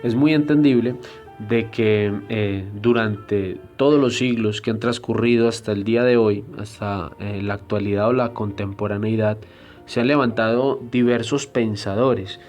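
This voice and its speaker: A man giving a church history lesson in a deliberate, explanatory way, often pausing with hesitation fillers. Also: fairly low pitch, steady tone, darkish tone, faint music behind. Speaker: Bible teacher.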